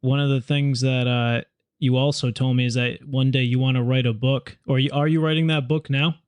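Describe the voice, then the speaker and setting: A male podcast host with a smooth, even voice that stays flat in pitch. He sounds friendly and casual, and the sound is dry and close. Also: brisk, dry recording. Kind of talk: casual interview hosting.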